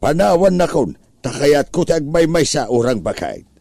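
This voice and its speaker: A man's voice: on the high side, very animated, normal speed, vocal fry, dark tone, no echo, studio quality, exaggerated voice. A man with an Ilocano accent playing a lazy, scheming older uncle who whines about being sick in a comedy drama. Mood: sly, stubborn. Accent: Ilocano, northern Philippines.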